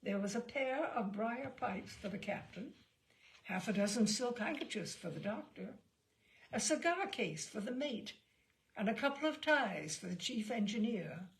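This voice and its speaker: A woman narrating a literary short story in a measured, articulate, expressive way. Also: on the high side, creaky, poor audio. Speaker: storyteller, reader.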